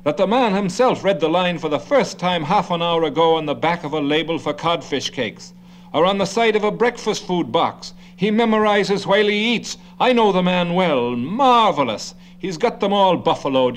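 Brief: man voicing a gabby, condescending know-it-all older man, pitched a bit high, audiobook reading with faint hum. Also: lively intonation, normal speed, lightly acted. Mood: lecturing. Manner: chattering, lecturing, condescending.

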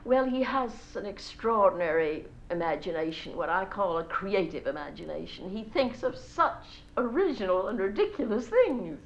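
A woman's voice: mid-range voice, sing-song, normal speed, hardly any pauses. A woman playing a gossipy, bossy society mother in a stage monologue, gushing to friends and scolding her children between sentences, on a dull old recording. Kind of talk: solo stage character monologue.

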